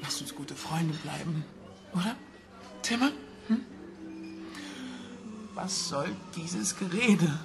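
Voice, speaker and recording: Radio drama: wounded sarcastic mistress, lowish slow voice, music behind. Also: female voice, expressive, vocal fry, exaggerated voice. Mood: bitterly sarcastic. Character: hurt, bitter female lover.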